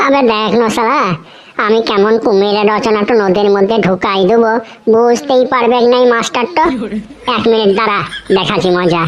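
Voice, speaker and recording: A woman's voice: on the high side, normal speed, lo-fi sound, lightly acted. A woman voicing a cheeky, bluffing village schoolkid in a comedy cartoon, with slangy teasing speech. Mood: cocky.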